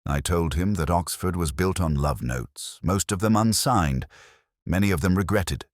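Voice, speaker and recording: Very deep-voiced man narrating detective fiction. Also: dry recording, studio quality. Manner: measured, reflective delivery of scripted prose. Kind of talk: first-person literary detective audio story narration.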